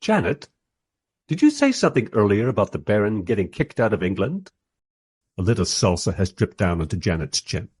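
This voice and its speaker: Radio drama narrator, male actor: husky, lowish, wry and musing filmmaker telling his own story. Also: very animated, a little fast, a little mellow.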